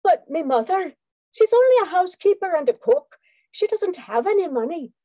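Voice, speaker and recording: A woman's voice: extremely high pitch, sing-song, normal speed, dark tone, no room sound. A woman playing a frightened, pleading young student held hostage in a melodramatic old-time radio drama.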